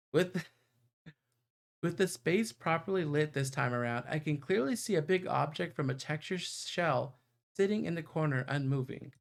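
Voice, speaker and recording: A man with a fairly high voice and plenty of vocal fry reads a story aloud on a livestream. He is playful and chatty, and he trips over the odd word as he goes. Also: expressive, a little slow, pauses often. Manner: playful, stumbles while reading. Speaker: streamer reading a visual novel aloud. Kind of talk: livestream read-aloud narration with chatty asides.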